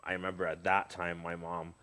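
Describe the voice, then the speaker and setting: A man's voice: deep voice, monotone, a little fast, sharp tone. A middle-aged man from Lebanon, talking in a casual conversation as a guest, hesitant, with many fillers and restarts.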